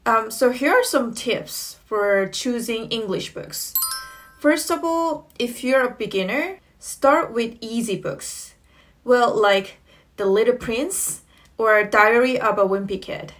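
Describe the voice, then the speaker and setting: Slow, careful woman, Korean native. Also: a little high, fairly bright tone. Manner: slow, careful, friendly with fillers like "you know" and "um". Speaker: English learning vlogger. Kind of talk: casual tips to camera. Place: small room indoors at home.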